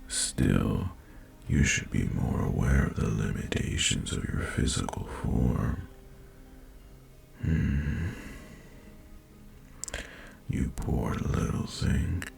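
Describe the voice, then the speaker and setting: A man voicing an ancient, haughty and seductive male dragon in a fantasy romance audio roleplay, speaking formally in a breathy, gravelly murmur with teasing condescension. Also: extremely low pitch, lively intonation, drawn-out words, long pauses, darkish tone, full character voice. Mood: seductive, menacing.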